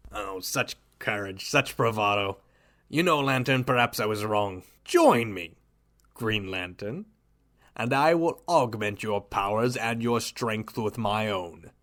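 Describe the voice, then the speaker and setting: A man voicing a cold, gloating male villain scientist who talks in a soft, smug, menacing drawl in an audio drama. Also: fairly low pitch, takes it slowly. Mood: coaxing. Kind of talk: amateur audio drama acting.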